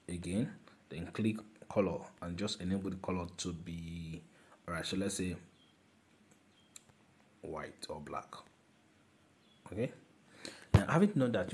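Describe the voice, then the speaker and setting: Low-voiced man explaining design steps. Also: a little mellow. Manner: instructive, repeats steps, calls objects "this guy". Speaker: design tutor. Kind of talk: screen-recorded software tutorial.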